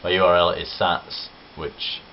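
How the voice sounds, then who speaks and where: Very deep-voiced Nottinghamshire lad. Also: male voice, level tone, measured pace. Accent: English Midlands (Nottinghamshire). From Nottinghamshire, England. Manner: chatty, self-deprecating, rambling asides. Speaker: vlogger. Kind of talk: casual accent-challenge vlog. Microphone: camera on tripod. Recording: dull lo-fi webcam audio with faint hiss.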